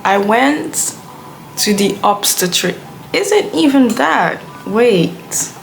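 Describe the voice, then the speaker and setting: A woman's voice: fairly high pitch, expressive, slow, vocal fry. Rambling young woman vlogging. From Belgium. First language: Dutch. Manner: chatty, rambling, heavy use of "like". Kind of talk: casual rambling vlog rant. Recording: music playing under the voice.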